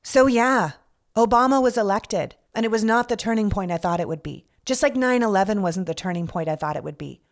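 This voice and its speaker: A woman's voice: medium pitch, brisk. Middle-aged woman from Canada, podcast host, earnest scripted monologue, blunt and a little profane. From Canada, Toronto. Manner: earnest, candid, with casual swearing and rhetorical questions.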